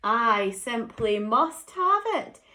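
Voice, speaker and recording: A stubborn, greedy male squirrel from a storybook, put on by a woman. He blusters with slow, heavily stressed words, stretching them out for effect. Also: on the high side, sing-song, sharp tone, full character voice. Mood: wistful greed. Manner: emphatic, drawn-out, blustering.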